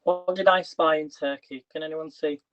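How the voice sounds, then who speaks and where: A slightly high-voiced man chats casually to viewers while tidying his kitchen, his voice wearing thin. He rambles and restarts his questions. The sound is dull and lo-fi, like livestream audio. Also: fairly even intonation, moderate pace. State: losing his voice. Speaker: livestream host. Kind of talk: casual live-stream chat.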